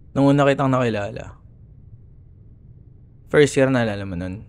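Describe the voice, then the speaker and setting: A man's voice: on the low side, vocal fry, exaggerated voice. A young man with a Filipino accent playing a cocky, teasing, easily annoyed college rival in an ASMR roleplay audio. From Philippines. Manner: teasing, sarcastic, exasperated.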